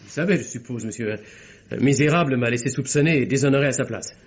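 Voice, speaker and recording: A man's voice: medium pitch, fast, natural voice. Man acting an earnest young clerk, dull recording. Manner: earnest, impassioned. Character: earnest, wronged young bank clerk, emotional, honourable. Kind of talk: vintage French radio drama acting. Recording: dull old radio recording.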